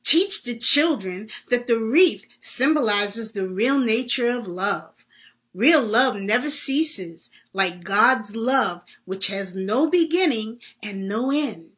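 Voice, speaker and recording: A woman voicing a solemn, earnest Santa Claus in a read-aloud story, teaching slowly and emphatically. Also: a little high, lively intonation, fairly bright tone. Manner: slow, emphatic, preacherly.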